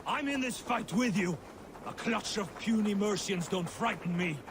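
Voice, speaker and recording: An actor playing a bold, hearty, plain-spoken Danish warrior and hunter in a video game cutscene. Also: male voice, high voice, normal speed, noisy background, close to an everyday voice. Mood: defiant.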